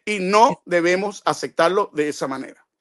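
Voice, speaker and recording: A man's voice: sits high, normal speed, a bit bright. A man, an immigration lawyer, answering interview questions with passion and emphatic bursts. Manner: passionate, emphatic, rising to outbursts.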